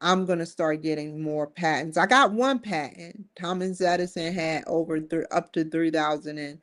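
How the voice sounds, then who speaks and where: A woman's voice: deep voice, moderate pace, a bit bright. A woman in her forties hosting a live-streamed solo talk show, rambling earnestly with frequent restarts. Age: 40s. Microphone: streaming setup.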